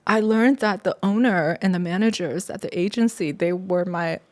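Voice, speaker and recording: A woman's voice: fairly low pitch, moderate pace. A middle-aged woman from China who grew up partly in the US, an academic telling her story conversationally as an interview guest. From born in China, moved to the US at eight. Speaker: podcast guest, sociology professor.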